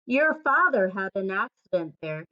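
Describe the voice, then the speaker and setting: A woman voicing a motherly old storybook rabbit, gently firm and careful as she cautions. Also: mid-range voice, big pitch swings, moderate pace, lightly acted. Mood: gently warning. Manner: careful, admonishing. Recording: dull lo-fi recording.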